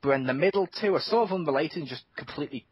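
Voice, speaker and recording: A man hosting a casual gaming podcast, talking fast and excitedly with plenty of swearing. His voice is husky and slightly breathy. The dull, lo-fi recording has a dry, close sound. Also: a little high, no room sound. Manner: animated, swears freely, quick asides. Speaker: podcast host.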